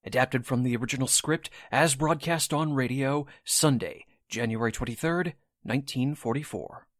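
A man's voice: medium pitch, fairly bright tone, no room sound, natural voice. Dramatic man as a vintage radio announcer, dry sound. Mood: dramatic, inviting. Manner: theatrical, emphatic, measured phrasing. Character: dramatic old-time radio show announcer. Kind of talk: 1940s radio announcing, scripted.

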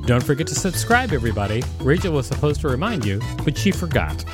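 A man's voice: medium pitch, fast talker, over music. A man co-hosting a podcast, reading an article aloud and breaking in with joking commentary. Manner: animated, joking asides. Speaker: podcast co-host.

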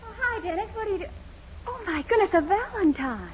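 A woman's voice: very high-pitched, expressive, brisk. An actress playing a sweet, gushing young girlfriend in 1940s radio comedy style. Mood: delighted. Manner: gushing, exclamatory. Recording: muffled, noisy old radio recording.

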